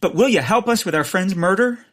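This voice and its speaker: A man's voice: sits high, expressive, a little fast, fairly bright tone. A man playing a scatterbrained, earnest amateur sleuth in a comedic audio drama, wisecracking and rambling awkwardly.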